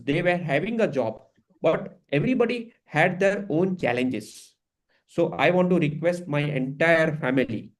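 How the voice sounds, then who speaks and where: A man, hosting as a career coach and giving an earnest, emphatic motivational talk to his community. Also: high-pitched. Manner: earnest, emphatic, switches into Hindi phrases.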